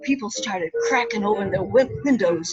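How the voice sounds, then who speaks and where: A woman storyteller playfully narrating a folk tale for children, theatrically, with dramatic pauses and interjections. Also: medium pitch, muffled recording.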